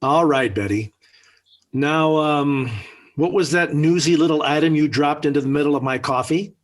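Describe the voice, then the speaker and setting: A man's voice: a little high, normal speed, lightly acted. An actor playing an exasperated middle-aged American family father in a 1940s radio sitcom, with formal, wordy, dryly sarcastic delivery. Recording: vintage 1940s radio recording.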